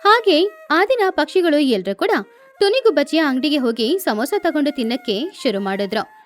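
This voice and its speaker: A woman's voice: high voice, sing-song, normal speed, faint music behind. A woman narrating a scripted children's story as a storyteller.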